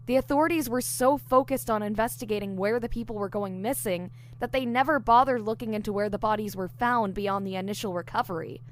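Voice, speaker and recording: A woman's voice: medium pitch, brisk, hardly any pauses, slight background noise, natural voice. A woman playing an eager, diligent newly hired investigator in an audio drama, explaining things earnestly. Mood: earnest, speculative. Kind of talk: audio drama dialogue, explaining case theories.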